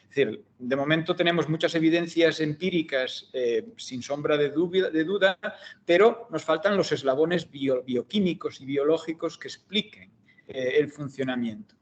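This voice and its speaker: A middle-aged man from Galicia with a Galician Spanish accent, giving an online seminar as guest lecturer in a measured, hesitant way with frequent fillers and restarts. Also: on the high side, lo-fi sound. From Galicia, Spain. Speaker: guest lecturer, optometry researcher. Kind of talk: academic seminar talk.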